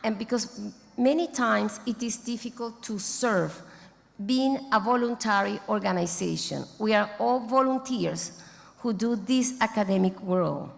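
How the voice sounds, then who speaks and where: A woman, not a native English speaker, giving a formal closing speech at a conference, halting and restarting mid-phrase as she thanks organizers and names board members. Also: mid-range voice, vocal fry. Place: large conference hall. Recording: long hall reverb.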